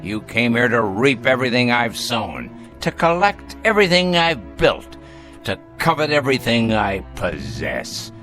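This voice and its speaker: A bitter, sardonic old patriarch near death in a radio drama. He speaks slowly and grandly, with sweeping swings of pitch, biting off his words like commands. Music plays behind him. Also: very animated, bright, forceful tone. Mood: bitter, scornful. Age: older. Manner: theatrical, deliberate, biting, grandiloquent.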